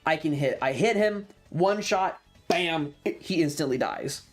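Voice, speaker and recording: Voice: mid-range voice, animated, measured pace. Emphatic streamer storytelling over music. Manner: emphatic, repeats phrases for drama; casual, swearing. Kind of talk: animated storytelling to a livestream chat about a tabletop game.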